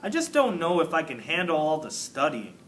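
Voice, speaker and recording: A man's voice: on the high side, huge pitch swings, brisk, fairly bright tone, a little hiss or hum. A man voicing a good-natured, somewhat grand male night janitor in a children's storybook. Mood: doubtful. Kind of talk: storybook character reading.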